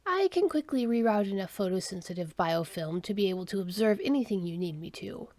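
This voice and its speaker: A woman's voice: medium pitch, animated, fairly quick, darkish tone, no room sound, natural voice. A woman voicing an eager, polite and playful male plant-like alien in an audio story. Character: content, mischievous male alien plant-fiber being, eager to help.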